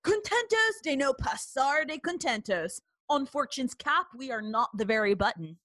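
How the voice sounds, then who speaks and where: Animated, high-voiced obsequious young courtier. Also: female voice, moderate pace, no room sound. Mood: jovial. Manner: exaggerated, deferential. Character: obsequious young male courtier, jolly but shifty friend. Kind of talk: classical Shakespearean stage acting for audio play.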